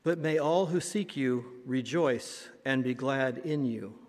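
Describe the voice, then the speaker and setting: A man, a pastor, reading scripture and leading a prayer in a solemn, measured way. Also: medium pitch, darkish tone. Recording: reverberant church hall.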